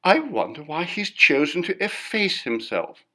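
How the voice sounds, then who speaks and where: A man's voice: on the high side, very animated, a little slow, bright, forceful tone, voice pushed up, full character voice. A man voicing a chatty society hostess in an audiobook, fond, gushing and exclamatory in an affected way. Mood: fond, curious. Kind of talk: audiobook character dialogue.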